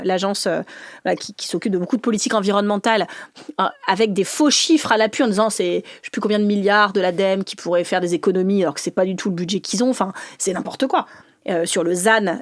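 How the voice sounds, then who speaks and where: A woman from the north of France, a politician guest in an interview, talking fast and animated with laughing asides and many restarts. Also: medium pitch, dry recording, clean studio sound. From northern France, mining basin. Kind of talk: lively conversational interview.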